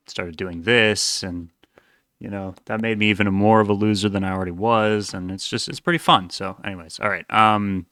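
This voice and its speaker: A man's voice: low voice, bright, forceful tone, smooth, no echo, studio quality. A man hosting a reaction video, casually thinking aloud in a rambling, self-deprecating way.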